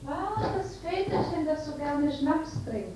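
Mercenary, teasing tavern girl, 1950s radio drama; slow cooing delivery, muffled noisy recording with room ambience. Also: high-pitched, lively intonation, warm tone. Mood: teasing, coquettish. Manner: cooing, drawling, mocking. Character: teasing, mercenary tavern barmaid, coquettish and mocking.

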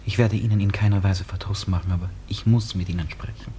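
Low-voiced, restless, mysterious stranger, played by an actor. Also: male voice, fairly even intonation, quick pace, slight background noise, close to an everyday voice. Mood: quiet, pleading. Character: mysterious, restless male stranger, self-mocking and eccentric. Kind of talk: German radio drama acting. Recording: loud music behind the voice. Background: music.

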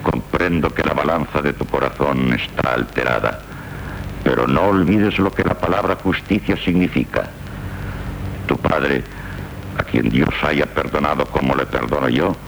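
Very deep, gravelly medieval king. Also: expressive, fairly bright tone, full character voice. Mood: measured authority. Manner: stately, deliberate. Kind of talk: 1970s Spanish radio drama acting. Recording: noisy 1970s radio tape.

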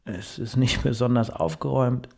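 A man's voice: mid-range voice, normal speed, creaky, noisy background, lightly acted. A man playing a burnt-out, reclusive poet, grumpy, dry and defeatist, in a German radio play.

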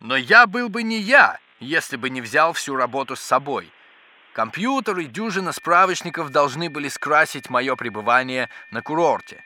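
An actor playing an excitable, pedantic scientist in a children's educational audio drama, with theatrical, exclamatory delivery. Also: male voice, on the high side, moderate pace. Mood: eager, pedantic. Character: excitable, bookish male scientist, absorbed in microbes. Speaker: scientist character.

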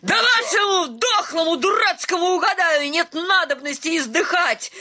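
Bright, high-pitched, quarrelsome young lady. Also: animated, normal speed, exaggerated voice. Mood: furious, shrill. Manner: argumentative, insistent, dragging stressed words. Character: stubborn, quarrelsome young landowner's daughter. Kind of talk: theatrical radio comedy acting.

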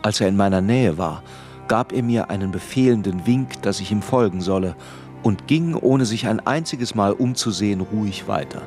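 An actor playing a grown man who recalls his tormented boyhood in grave, measured literary narration for a radio play. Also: on the low side, expressive, darkish tone, soft background music. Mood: anxious, grave.

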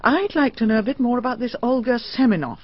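An actress playing a middle-aged, opinionated amateur sleuth in a radio drama, brisk and teasing, with an English accent. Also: female voice, fairly high pitch, breathy edge. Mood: speculating. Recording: dull lo-fi radio recording.

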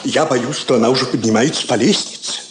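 An actor playing a flustered, servile royal adviser in a classic radio drama, anxious, formal and obsequious. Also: male voice, mid-range voice, quick pace, natural voice. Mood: apologetic panic. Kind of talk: classic Russian stage radio drama acting. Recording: noisy, echoey old theatre recording.

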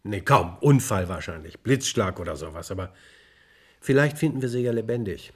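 An actor playing a police investigator in a radio play, questioning in a dry, sardonic, clipped way with a husky voice. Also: male voice, lowish voice, animated, normal speed. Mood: matter-of-fact. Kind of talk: German radio play dialogue.

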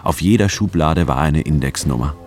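A male actor playing a reflective, wry anthropologist who narrates a German radio drama in a measured, precise way. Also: rumbling low, darkish tone, soft background music.

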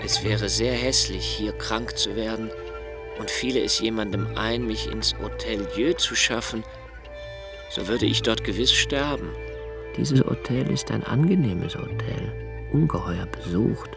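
Over faint music, an anxious young writer in a literary radio play. The voice sits fairly low. He speaks with brooding intensity and deliberate phrasing, as a morbidly watchful observer. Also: vocal fry. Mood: bitterly sardonic. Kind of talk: literary radio drama monologue.

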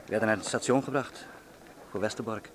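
An actor in a Dutch radio drama playing a plain-spoken, respectful neighbour, matter-of-fact and urgent. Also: male voice, on the low side, fairly even intonation, brisk, background noise, natural voice. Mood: grave, urgent.

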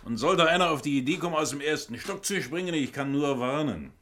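An actor playing a wry, chatty captive companion in a radio crime drama, talking in a jaunty way. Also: male voice, on the high side, expressive, fairly quick, fairly bright tone, a little hiss or hum. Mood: wry, resigned. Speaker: supporting actor. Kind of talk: 1970s German radio crime drama acting.

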